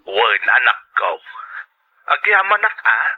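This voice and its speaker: A man's voice: mid-range voice, very animated, takes it slowly, slightly rough, exaggerated voice. A man with a Filipino accent acting an affectionate, worried father in an audio drama, tender, heard through a telephone filter. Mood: tender, grateful. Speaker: drama actor. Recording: thin, narrow telephone line.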